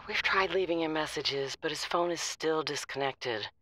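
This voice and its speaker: A woman's voice: low voice, measured pace, sharp tone, faint music behind, low-quality recording. An actress playing a weary, worried middle-aged mother of a missing grown son, hesitant and sighing in an audio drama. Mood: worried, sad. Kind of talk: audio drama phone conversation.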